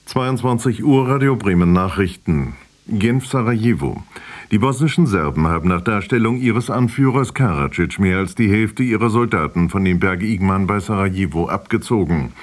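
A man's voice: on the low side, expressive, normal speed. A man with a standard German accent reading a scripted news bulletin on the radio. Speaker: radio newsreader.

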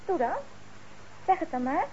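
An actress playing a suspicious, sharp-tongued daughter of a shopkeeper in a Dutch radio play, blunt and disapproving. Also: female voice, high-pitched, moderate pace, close to an everyday voice. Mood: curt. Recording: dull radio play recording, faint noise.

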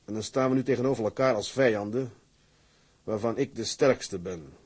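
Deep-voiced, grim sea captain. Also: male voice, moderate pace, slightly rough. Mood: cold, firm. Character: grim, grief-stricken middle-aged male tugboat captain. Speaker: actor. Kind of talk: Dutch drama acting.

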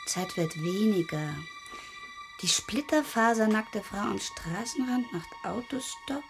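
Radio play role: defiant, ironic daughter, medium pitch, slow and deliberate, music behind. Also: female voice, lively intonation. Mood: musing, sardonic. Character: defiant grown daughter, musing with bitter irony. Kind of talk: radio drama acting.

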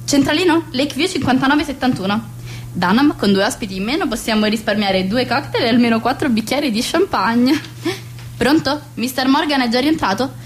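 Woman acting a fussy high-voiced society hostess. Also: lively intonation, a little fast, slight background noise. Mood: bossy, brisk. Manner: theatrical, gushing, bossy. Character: fussy, social-climbing society hostess and mother. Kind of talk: radio play acting.

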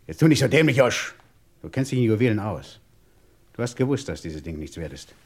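An actor playing a domineering, short-tempered crime boss in a radio play, mocking and commanding. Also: male voice, on the low side, big pitch swings, a little fast, a little mellow. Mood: threatening.

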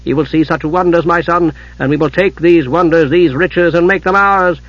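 A man's voice: fairly high pitch, normal speed, a bit bright, dry recording, lo-fi sound, voice pushed up, close to an everyday voice. A man voicing a cold, scornful and domineering warrior mother in a fantasy story, with a sneering, commanding delivery. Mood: contemptuous.

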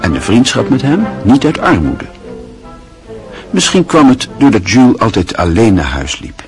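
A man narrating a radio play in a measured, even storytelling manner. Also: medium pitch, faint music behind.

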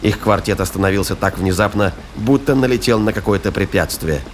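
A man's voice: lowish voice, brisk, a little hiss or hum. A man giving dramatic scripted narration as the narrator of a science-fiction audio drama.

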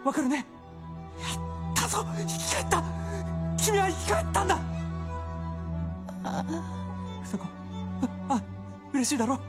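Intense, obsessive scientist husband over loud music. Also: male voice, very high voice, animated, slow, pauses often, heavily acted. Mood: frantic elation. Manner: intense, formal when recounting, tender when addressing his wife. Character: obsessive scientist husband, elated and devoted to his revived wife. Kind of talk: Japanese radio drama acting, confessional monologue and dialogue.

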